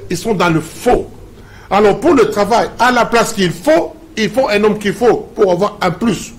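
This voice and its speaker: A man, a professor lecturing emphatically in a TV debate. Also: high-pitched. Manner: emphatic, lecturing, repeats slogans.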